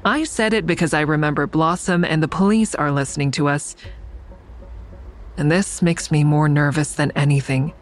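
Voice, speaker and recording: A woman's voice: sits low, normal speed, slight background noise, close to an everyday voice. An actress playing a guarded, sardonic woman in an audio drama, dry and wry in dialogue and first-person narration. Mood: tense narration. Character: guarded, sardonic woman, crisis counselor with secret kills.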